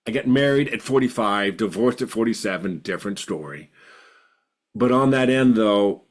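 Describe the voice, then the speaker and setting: A man in his fifties who stutters, sharing earnestly and reflectively in a group video call, repeating words for emphasis. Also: on the low side. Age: 50s. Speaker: support group participant.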